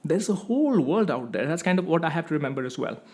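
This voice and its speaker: A man's voice: fairly high pitch, big pitch swings, fast talker, darkish tone. Emphatic male host. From India. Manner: explanatory, emphatic, makes points step by step. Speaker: music channel host. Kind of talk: opinion monologue to camera.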